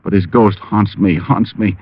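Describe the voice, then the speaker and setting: A man's voice: fairly low pitch, steady tone, moderate pace, breathy edge, no echo. A man playing a wisecracking, cool-headed private detective in vintage radio drama style. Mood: feigned drowsy. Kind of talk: 1940s-50s radio drama acting with narration. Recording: muffled old radio recording with music.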